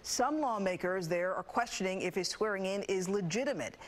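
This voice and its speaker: An American woman works as a television news anchor. She reads scripted stories in a middle-pitched voice, presenting them in newscast style. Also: lively intonation, fairly quick.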